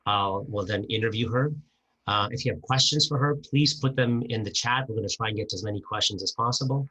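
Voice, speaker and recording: Male moderator, fairly low pitch, bright forceful voice, enthusiastic, stumbling over words. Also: husky, rough recording. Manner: enthusiastic, halting with many fillers and restarts. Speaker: moderator of a virtual book launch. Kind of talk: casual event hosting. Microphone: video call.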